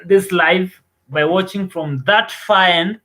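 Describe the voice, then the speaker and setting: A man's voice: high-pitched, slow pace, pushed and bright. A man from Kenya with a Kenyan accent, co-hosting a casual livestream chat and talking hesitantly with lots of "like" fillers and restarts. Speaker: co-host.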